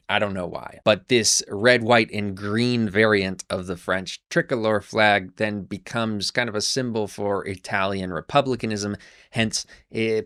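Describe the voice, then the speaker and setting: A man's voice: lowish voice, a bit bright. A man hosting a history channel, explaining events in a conversational lecture with fillers and restarts.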